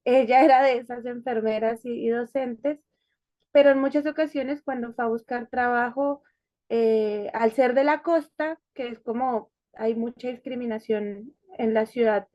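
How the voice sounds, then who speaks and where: Woman, higher voice, thoughtful. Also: steady tone, normal speed, dark tone, no room sound, highs cut off. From Colombia, Bogotá. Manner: thoughtful, hesitant, many fillers and restarts. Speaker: interview guest. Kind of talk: conversational life-story interview.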